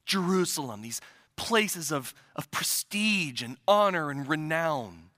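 A man's voice: fairly high pitch, lively intonation, takes it slowly, bright, forceful tone, no room sound, clean studio sound. A man from the Midwest preaching a sermon, building to urgent, emphatic repetition. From American Midwest. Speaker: pastor.

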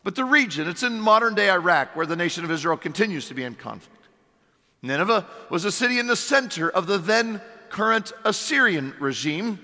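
A middle-aged man preaches in a church sanctuary, his voice worn hoarse and strained. He speaks high and bright, leaning on earnest rhetorical questions. The hall behind him rings with a long echo. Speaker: pastor. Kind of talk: sermon.

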